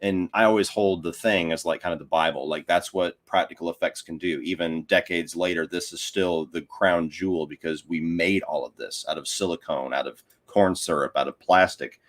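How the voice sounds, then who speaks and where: A man's voice: low-pitched, steady tone, moderate pace, few pauses. A man talking casually and giving his opinions as a guest on a film podcast.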